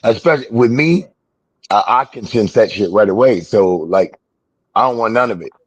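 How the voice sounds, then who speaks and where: A man's voice: on the low side, animated, moderate pace, husky, no room sound, dull audio. A man guest-hosting a podcast, talking earnestly in casual chat while losing his voice. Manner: earnest, repeats "you know what I mean". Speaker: guest host.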